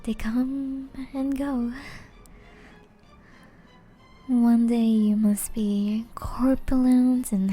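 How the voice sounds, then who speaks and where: A woman playing a flirty, elegant, ageless female vampire in an intimate ASMR roleplay, soft and often whispery, speaking in a refined, formal, teasing way. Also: a little high. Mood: refined, amused.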